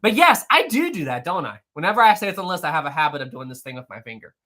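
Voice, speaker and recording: A man hosting a casual live stream, answering viewer messages in an animated, rambling way with frequent fillers, restarts and laughs. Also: a little high, racing. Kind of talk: casual live-stream chat reading super chats.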